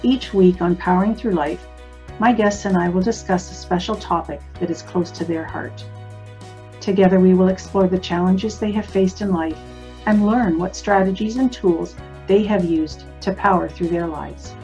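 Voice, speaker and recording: A woman's voice: on the low side, normal speed, dark tone. A woman hosting a radio talk show as a life coach, welcoming her guest in a friendly, conversational way. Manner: welcoming, scripted intro then conversational. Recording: dull lo-fi sound with faint music.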